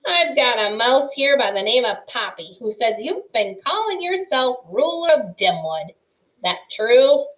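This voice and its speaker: A brash old porcupine in a storybook reading, as a woman puts him on, scoffing at danger and teasing in slangy talk. The voice sits fairly high and takes its time. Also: sing-song, unhurried, fairly bright tone, poor audio. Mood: challenging. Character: gruff old male porcupine, blunt and teasing.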